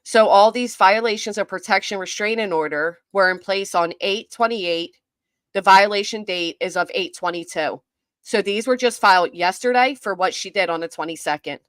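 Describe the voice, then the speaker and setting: A woman's voice: medium pitch, normal speed, a bit bright, no room sound. A woman hosting casual livestream commentary, blunt and sarcastic, coughing as she loses her voice. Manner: blunt, sarcastic, laughs and swears.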